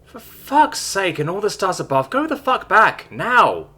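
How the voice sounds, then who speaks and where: A blunt, foul-mouthed fighter woman, as a male reader voices her in an audio story. The voice is slightly raised, husky and bright, and its pitch swings freely. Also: very animated, a little slow, exaggerated voice. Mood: mortified. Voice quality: crude, dry, exasperated. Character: blunt, weary, tough human woman fighter.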